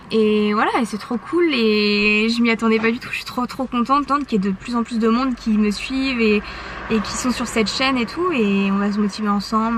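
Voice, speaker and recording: A woman's voice: fairly high pitch, noisy background. A young woman with a French accent, chatting casually to camera in a personal vlog, with lots of fillers and restarts. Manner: chatty, many fillers and self-corrections. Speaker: vlogger. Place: outdoors on walks and at home. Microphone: handheld compact camera.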